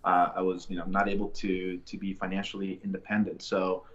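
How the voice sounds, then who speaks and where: A man from Colombia, a podcast guest, telling his life story in a relaxed, reflective way with many fillers and restarts. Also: low voice, brisk, highs cut off. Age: adult, a father. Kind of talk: casual interview storytelling.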